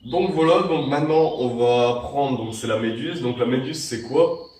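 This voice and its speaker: A young man with a cold talks through a casual how-to tutorial, rambling and restarting as he goes. His voice sits at an ordinary pitch for a man. It sounds roomy and echoey, picked up from some distance away from the mic. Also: normal speed, a little mellow, slight background noise. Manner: rambling, many fillers and restarts. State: has a cold. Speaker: vape tricks tutorial host.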